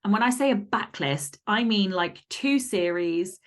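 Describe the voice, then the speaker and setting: A woman's voice: mid-range voice, lively intonation, unhurried. A woman podcast guest giving conversational advice in a blunt, emphatic, sweary way.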